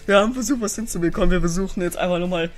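A young man giving excited, casual gameplay commentary, often repeating phrases in quick bursts. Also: high voice. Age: young adult. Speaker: gaming YouTuber.

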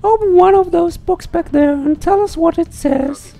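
A man voicing a stern, demanding woman exile from a fantasy game in an insistent falsetto. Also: moderate pace, vocal fry, dark tone. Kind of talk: reading visual novel dialogue aloud.

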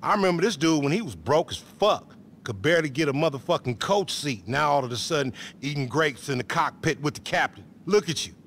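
Brash, high-voiced American motormouth hustler. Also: male voice, lively intonation, fairly quick, hardly any pauses, fairly bright tone, slight background noise. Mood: boastful. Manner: boastful, mouthy, profane. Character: brash, motormouthed, aggrieved small-time hustler, grown man. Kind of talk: video game cutscene dialogue, slangy.

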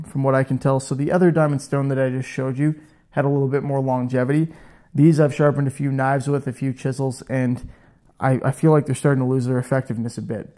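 Chatty man demoing in his garage. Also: medium pitch. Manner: chatty, self-joking, animated with hands. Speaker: woodworking channel host. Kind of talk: casual how-to demo. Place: garage workshop, indoors. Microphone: lapel mic.

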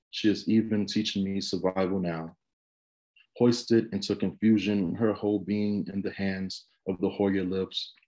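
Deep-voiced man reading poetry. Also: a little mellow, low-quality recording. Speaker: poet. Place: small room with bookshelves, indoors. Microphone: video call computer microphone.

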